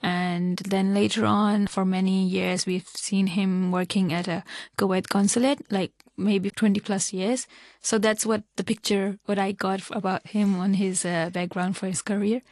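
A woman's voice: medium pitch, little pitch movement, normal speed, darkish tone, no room sound. Interview guest, a woman in her thirties from Hong Kong with a mid-range voice. She talks in long, chatty run-on answers, recorded dry and close. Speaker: interview guest, small-business owner.

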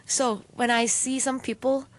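A young woman, pitched a little high, answering interview questions. She keeps her replies short and simple, pausing as she searches for words. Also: fairly even intonation, low-quality recording. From Hong Kong. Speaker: guest, tricking athlete and dancer.

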